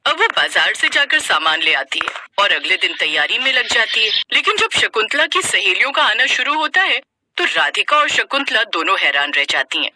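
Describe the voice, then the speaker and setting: Very bright, animated woman narrator. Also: fairly high pitch, moderate pace, slight background noise. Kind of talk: scripted narration of a moral story.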